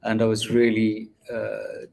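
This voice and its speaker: Low, flat-voiced man reflecting hesitantly. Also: a little slow, gravelly. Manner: reflective, hesitant, many fillers. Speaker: artist. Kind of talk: livestream discussion.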